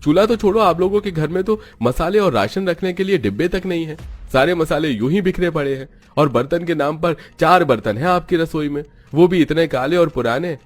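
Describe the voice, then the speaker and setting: A man's voice: fairly high pitch, lively intonation, a little fast, soft background music, voice pushed up. A man playing a scornful, belittling neighbourhood man in a cartoon. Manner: haughty, belittling. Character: scornful neighbourhood man looking down on poor households.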